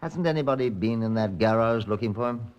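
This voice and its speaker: Muffled hissy old radio, deep dry police chief inspector man acting it, clipped and sardonic. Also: expressive, a little fast, darkish tone, smooth, close to an everyday voice. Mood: terse, skeptical. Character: dry, steady male Scotland Yard chief inspector. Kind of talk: 1950s radio crime drama acting and narration.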